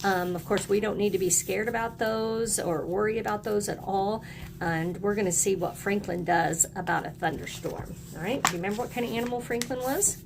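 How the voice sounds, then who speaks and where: Woman teaching young children over clear background noise. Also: medium pitch, fairly quick. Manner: encouraging, asks children questions and prompts repeats. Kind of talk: read-aloud lesson for young children.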